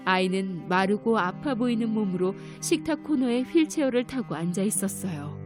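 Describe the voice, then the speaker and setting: A woman narrating a children's fairy tale in a gentle, clear storytelling manner. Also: mid-range voice, lively intonation, normal speed. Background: music.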